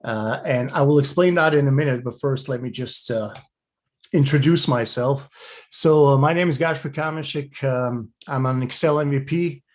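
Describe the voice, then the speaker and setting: A man from Slovenia giving a webinar talk over a video call, in a relaxed and chatty way. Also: mid-range voice, normal speed. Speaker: guest speaker. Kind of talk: presenting a webinar.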